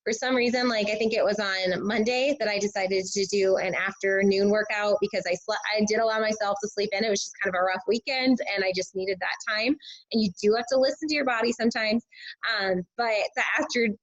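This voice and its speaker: A woman, a teacher and fitness coach, sharing casual tips in a chatty way, full of filler words, her voice a bit hoarse and scratchy. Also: medium pitch, steady tone, brisk. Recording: dull lo-fi recording.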